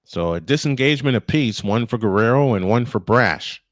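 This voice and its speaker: Male sports commentator doing live baseball play-by-play, medium-pitched, dry studio-close sound. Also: animated, moderate pace, dry recording.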